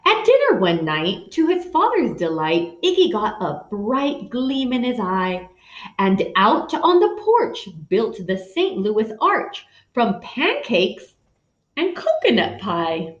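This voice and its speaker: A woman's voice: a little high, big pitch swings, slow pace. A woman in her thirties reading a picture book aloud dramatically, speaking to young listeners. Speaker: children's story reader. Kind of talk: expressive picture-book read-aloud with asides. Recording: dull sound in echoey room.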